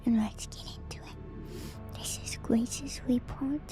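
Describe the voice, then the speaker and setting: A woman livestream host chatting in a soft ASMR style, moving between whispers and quiet speech, very close to the mic with audible mouth noises. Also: on the high side, fairly even intonation, takes it slowly. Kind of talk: whispered ASMR stream chat. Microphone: very close microphone with gain turned up, mouth noises. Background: music.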